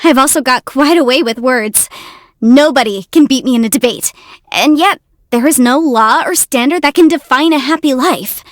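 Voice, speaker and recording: A woman voicing a young, quick-witted half-immortal legal adviser in a video game, articulate, self-assured and playful. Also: sits high, dry recording, studio quality, close to an everyday voice. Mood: contented. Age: young adult. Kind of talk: video game character voice lines.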